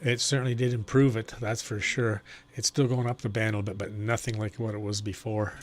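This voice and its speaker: A man's voice: lowish voice, level tone, a little fast. A man working as an amateur radio operator, talking in a plain, explanatory, unscripted way during a radio contact. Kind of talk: unscripted ham radio conversation, technical advice. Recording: clear background noise and electrical hum.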